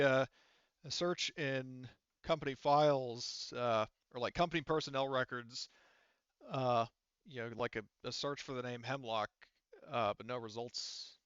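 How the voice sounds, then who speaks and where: Bright-voiced man running a game, halting and rambling. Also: mid-range voice, clear, resonant tone, no room sound, lo-fi sound. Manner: halting, rambling, repeats himself. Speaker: game master. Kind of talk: casual narrating of an online tabletop game. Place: online voice chat.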